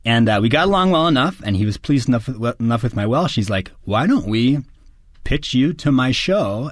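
A man's voice: medium pitch, no room sound, studio quality. A man, an actor from Wisconsin, answering interview questions with earnest enthusiasm. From Wisconsin, United States. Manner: earnest, enthusiastic storytelling with occasional laughs.

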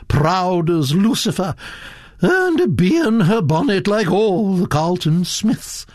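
An elderly aristocratic Duchess, pitched high and swooping widely. She is autocratic and scornful, fussing and exclaiming as she hands down her verdicts. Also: darkish tone, dry recording, clean studio sound, exaggerated voice. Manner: autocratic, exclamatory, fussy hesitations.

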